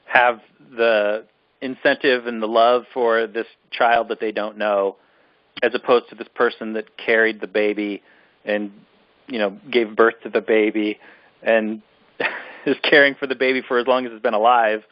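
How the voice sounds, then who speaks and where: A man's voice: fairly low pitch, level tone, moderate pace, no echo, lo-fi sound. A man co-hosting a casual film discussion, ranting in an exasperated, emphatic way and repeating himself for emphasis. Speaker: podcast co-host reviewing films.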